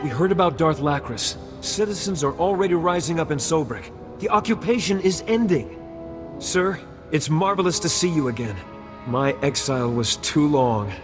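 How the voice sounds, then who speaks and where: A man's voice: fairly high pitch, animated, moderate pace, natural voice. An actor playing an idealistic male politician in a video game, formal and oratorical, earnest and dignified. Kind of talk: video game dialogue acting. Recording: long hall reverb, music behind.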